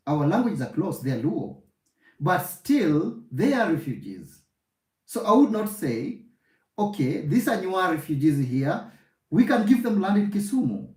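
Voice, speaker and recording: A man hosts a heated online discussion. His voice is mellow and warm, with lively pitch that rises and falls. He keeps order calmly, and the audio carries a roomy echo. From Kenya. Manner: calm, steering others to take turns. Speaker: discussion host.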